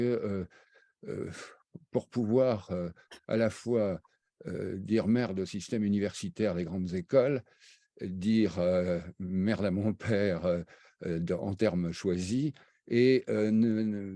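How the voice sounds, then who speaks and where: Older man, deep, halting recollection. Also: mellow, no echo, studio quality. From raised half in Brazil, half in France. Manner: halting, many fillers and restarts. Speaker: interview guest, economist. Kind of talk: hesitant spoken recollection.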